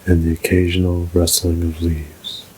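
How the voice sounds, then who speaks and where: A man narrating a scripted guided meditation in a soothing, measured, unhurried way. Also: deep voice, little pitch movement, a little mellow, slight background noise, poor audio.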